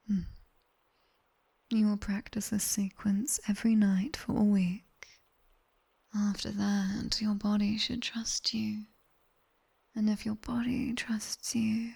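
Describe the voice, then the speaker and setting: Woman acting British female demon tutor, breathy whisper, mellow, unhurried, sharp-tongued, strict, teasing, ASMR roleplay. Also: mid-range voice, flat delivery, heavily acted. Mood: stern, teasing. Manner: slow, measured, commanding.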